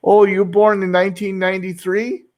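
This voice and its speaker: A man's voice: sits high, little pitch movement, moderate pace, a little mellow. A man in his sixties, an English teacher, chatting casually in a rambling, reflective, self-deprecating way. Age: 60s. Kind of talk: casual group chat.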